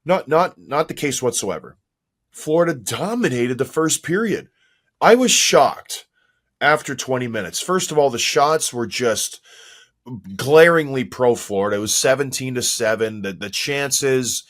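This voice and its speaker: Slightly hoarse man hosting a podcast. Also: mid-range voice. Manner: self-mocking, animated storyteller. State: a little hoarse. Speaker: podcast host. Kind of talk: casual hockey podcast chat.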